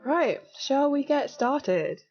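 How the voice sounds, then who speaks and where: A young woman in her twenties, slightly croaky, chatting casually and giggling as she walks viewers through a hacking exercise on a live stream. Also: high-pitched, expressive, takes it slowly, a little mellow, dull audio. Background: faint music.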